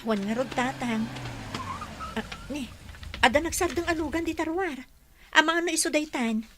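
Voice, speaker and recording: Actress as affectionate young bride-to-be, slightly anxious, bright higher voice, radio drama. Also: female voice, normal speed, a little hiss or hum. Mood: busy. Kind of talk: Ilocano radio-style drama acting.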